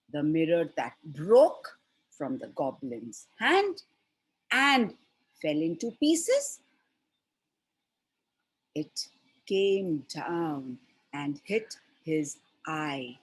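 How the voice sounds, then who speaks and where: A woman telling a fairy tale to children in an expressive style, with deliberate, dramatic pauses between short phrases. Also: fairly low pitch, slightly rough. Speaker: storyteller for children.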